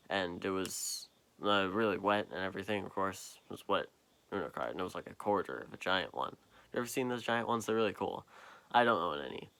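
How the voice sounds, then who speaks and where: Tired young man with a deep voice. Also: creaky, pushed and bright. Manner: tired, halting, lots of fillers and trailing off. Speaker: vlogger. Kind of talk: rambling late-night monologue. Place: quiet basement at night. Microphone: phone propped up nearby.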